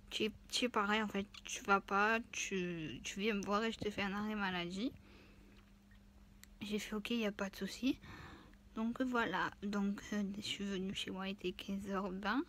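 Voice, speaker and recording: A woman's voice: mid-range voice, fairly bright tone, a little hiss or hum. A woman in her twenties with the flu and a stuffy nose, chatting casually in a vlog and rambling with many fillers. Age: 20s. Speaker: vlogger. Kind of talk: casual chat.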